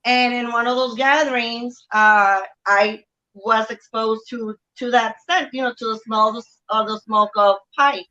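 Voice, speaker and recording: Bright-voiced Puerto Rican woman. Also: on the high side, fairly even intonation, a little slow. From Puerto Rico. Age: middle-aged. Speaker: interview guest. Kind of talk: casual personal recollection. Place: outdoor family courtyard. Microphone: video call. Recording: dull lo-fi video call audio.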